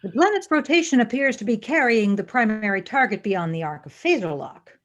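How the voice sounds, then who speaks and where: A woman's voice: fairly high pitch, lively intonation, brisk, natural voice. An actress playing a stern, logical female first officer in an audio drama, speaking formally and precisely, with reproof. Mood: formal, insistent.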